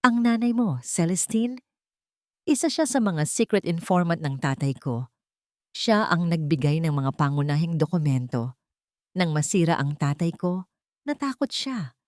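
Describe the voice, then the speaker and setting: A woman's voice: fairly low pitch, lively intonation, no echo, clean studio sound. A woman with a Filipino accent voicing a grave, determined man in a dramatic audio story. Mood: bitter. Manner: grave, deliberate. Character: serious, determined man, journalist's son seeking justice.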